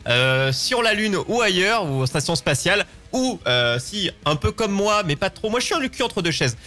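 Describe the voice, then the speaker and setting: A man with a fairly high voice presents a casual live show. He talks informally and jokingly, like a chatty host. Faint music plays behind him. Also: big pitch swings, moderate pace, pushed and bright.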